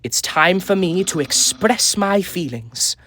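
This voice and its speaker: A man voicing a vain, naive cartoon skeleton from a video game, with a grandiose, theatrical delivery that jumps between hushed asides and loud exclamations. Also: sits high, animated, slow pace, no room sound, heavily acted. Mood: tender, solemn. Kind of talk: amateur video game character dub. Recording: voice over background music.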